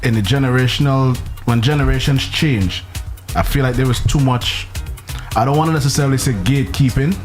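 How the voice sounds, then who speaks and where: A man's voice: mid-range voice, fairly quick, soft background music. A man who works as a DJ, laying out his argument step by step in a casual panel discussion. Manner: reasoned, builds his argument.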